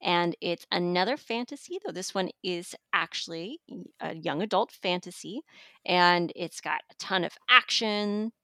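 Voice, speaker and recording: Woman book reviewer, lower-pitched, enthusiastic and conversational, very dry close sound. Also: animated, unhurried, fairly bright tone, no room sound. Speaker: audiobook magazine editor and reviewer.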